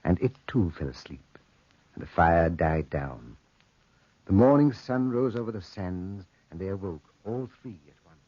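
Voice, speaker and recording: A man narrating a 1940s radio drama in a measured, dramatic storytelling style. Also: deep voice, expressive, husky, no room sound. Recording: muffled, dry 1940s radio recording.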